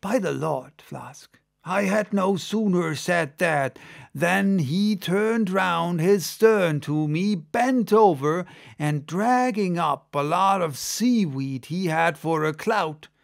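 Male narrator as sailor storyteller, audiobook drama, slightly high pitch, creaky, exclamatory, conversational. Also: slow pace, full character voice. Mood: animated yarn-spinning. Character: gruff, excitable sailor, second mate, spinning a yarn.

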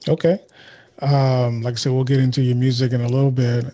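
Relaxed male podcast host. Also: mid-range voice, a little fast. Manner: relaxed, many filler ums. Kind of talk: casual interview. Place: home studio. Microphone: studio mic with headphones.

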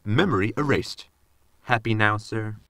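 A man's voice: lowish voice, unhurried, gravelly, heavy background noise. A man playing a blunt, literal android servant in a sci-fi comedy audio drama, formal and matter-of-fact. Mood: politely needling.

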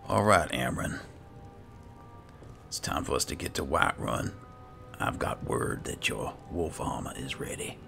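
Very deep, gravelly man narrating a game. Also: very animated, measured pace, pauses often, pushed and bright, faint music behind. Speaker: gamer playing in character. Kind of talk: casual roleplay gameplay narration.

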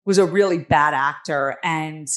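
A woman in her forties with a raspy, nasal New Jersey accent, telling her own story candidly and emphatically as an interview guest on a video call. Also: deep voice, unhurried, fairly bright tone. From New Jersey, United States. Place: small room at home, on a video call. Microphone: headset on a video call.

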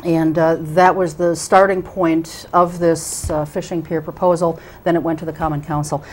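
A woman's voice: low-pitched, flat delivery, normal speed, darkish tone, a little hiss or hum. A middle-aged woman hosting a local cable talk show from a small studio, introducing guests with many ums and restarts. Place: small TV studio with curtain backdrop. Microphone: lapel mic.

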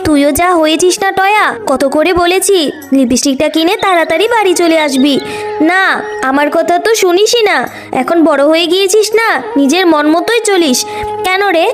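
A woman's voice: very high voice, normal speed. A woman voicing a scolding but caring village mother in a children's cartoon story. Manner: nagging, motherly. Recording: music behind the voice.

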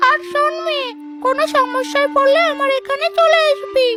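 Shrill, bossy tree ghost. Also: female voice, steady tone, a little fast, over music. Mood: reassuring. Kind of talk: children's cartoon voice acting.

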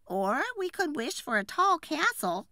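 A woman voicing a woodcutter's scolding, exasperated wife in a children's storybook, with shrill, emphatic words. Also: big pitch swings, unhurried, bright, forceful tone, no echo, studio quality, heavily acted. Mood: dreamy. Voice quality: shrill, high-pitched. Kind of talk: storybook character voice.

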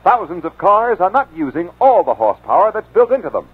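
A man pitching a product in a high, lively, sing-song announcer voice. His tone is husky and thin, heard through a muffled, phone-narrow old radio recording. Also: fairly quick, no room sound. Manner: emphatic, salesy. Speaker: commercial announcer. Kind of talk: 1950s radio ad read.

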